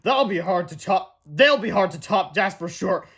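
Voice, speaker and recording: A man's voice: high-pitched, lively intonation, moderate pace, fairly bright tone, heavily acted. A man voicing a confident, offhand young schoolboy prankster in a storybook reading. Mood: smug. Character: cocky, reassuring schoolboy prankster.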